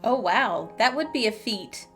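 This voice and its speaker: A woman's voice: fairly high pitch, animated, unhurried, fairly bright tone. A woman hosting a gameplay video with casual, chatty commentary and wry asides. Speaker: gaming channel host. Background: game music.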